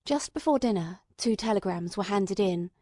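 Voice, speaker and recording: A woman's voice: medium pitch, lively intonation, normal speed, warm tone, no room sound, studio quality. A woman reading a classic detective story as a scripted audiobook narrator.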